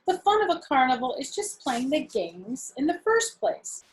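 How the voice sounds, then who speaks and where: A storybook mother voiced by a woman reader speaks to her young child. Her voice is fairly high, and she is firm, parental and instructive while staying reassuring. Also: sing-song, moderate pace, slight background noise, low-quality recording. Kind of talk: children's storybook character reading.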